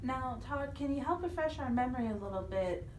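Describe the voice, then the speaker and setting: A woman's voice: high-pitched, animated, fairly quick, darkish tone. A woman co-hosting a radio talk show, speaking in a presenter's conversational style. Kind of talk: interview questions and announcements. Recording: echoey room with faint noise.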